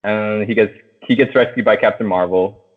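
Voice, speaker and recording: A man hosting a casual film chat, talking in slangy, profane language and steering the discussion like an excited fan. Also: lowish voice, normal speed. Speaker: podcast host. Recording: tinny phone-like sound.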